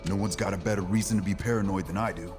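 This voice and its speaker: An actor playing an earnest, steady male superhero in a video game, speaking seriously and firmly. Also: low voice, very fast, music in the background. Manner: serious, firm. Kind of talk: video game cutscene acting.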